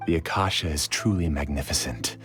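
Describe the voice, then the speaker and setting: An actor playing a proud, grieving scholar in a video game, with measured, formally eloquent, theatrical delivery. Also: male voice, rumbling low, soft background music. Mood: proud, explaining. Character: grieving, proud male scholar, cunning and self-possessed. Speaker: researcher character in a fantasy game. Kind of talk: scripted video game dialogue.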